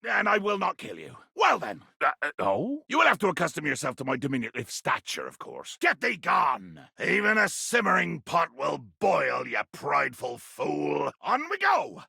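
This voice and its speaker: An actor playing a small, hot-tempered, pompous pirate in a video game, with a gravelly, raspy voice and florid, theatrical, old-fashioned phrasing. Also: male voice, on the high side, big pitch swings, moderate pace, few pauses, pushed and bright, no echo, clean studio sound, full character voice. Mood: haughty, defiant. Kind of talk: video game character acting.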